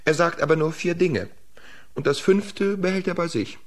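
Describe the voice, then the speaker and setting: An actor playing a sly, cheeky peasant man in a radio play, with a drawn-out delivery. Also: fairly high pitch, animated, lightly acted. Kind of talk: German radio play acting.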